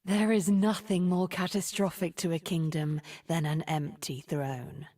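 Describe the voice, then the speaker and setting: A woman playing a cunning, haughty immortal sorceress in a video game, with a breathy, husky, deliberate and condescending delivery that often drops to a whisper. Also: on the low side, flat delivery, mellow. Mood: cold, taunting. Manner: theatrical, deliberate, silky condescension. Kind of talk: video game character voice acting. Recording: music behind the voice.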